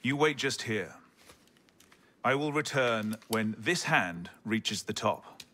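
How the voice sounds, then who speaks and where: An actor playing a kindly, calm father in a video game, gentle but firm. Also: fairly low pitch, takes it slowly, heavily acted. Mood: reassuring. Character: kindly, calm father reassuring his child. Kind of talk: video game cutscene acting.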